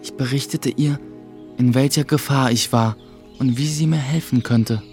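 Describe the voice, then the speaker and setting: A man's voice: medium pitch, moderate pace, darkish tone, faint music behind, natural voice. An actor playing an earnest young apprentice who tells his own story reflectively in a German radio play. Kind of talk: radio play narration and dialogue.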